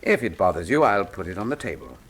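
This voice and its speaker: Imperious English sleuth, formal theatrical diction, lively swooping intonation, radio mystery, faint background noise. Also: male voice, low-pitched, fast talker. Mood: dry sparring. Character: shrewd, imperious, wryly witty English male detective. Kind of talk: full-cast radio drama acting.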